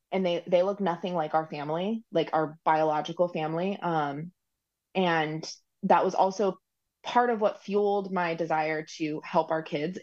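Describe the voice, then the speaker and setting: A woman guest speaks at length in a relaxed podcast interview, her voice low for a woman. She rambles along with frequent ums and likes. The audio is dull and lo-fi. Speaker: guest, recipient parent. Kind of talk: conversational interview.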